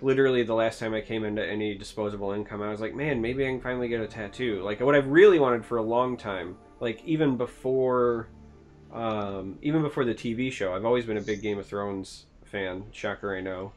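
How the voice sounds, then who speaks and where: A man's voice: lowish voice, brisk, faint music behind. A man from Michigan hosting a casual podcast chat, asking questions and cracking dry jokes. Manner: dry, joking, asks questions.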